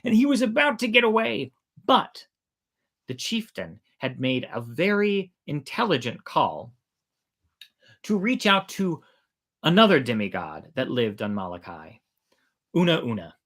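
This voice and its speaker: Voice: medium pitch, very animated, measured pace, long pauses, a bit bright. A storyteller hosting a show, telling folk tales informally in a playful, conversational way.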